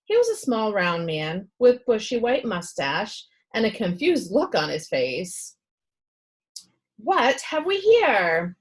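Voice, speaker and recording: A woman reading a children's book aloud as expressive storytelling narration. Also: medium pitch, measured pace, few pauses, husky.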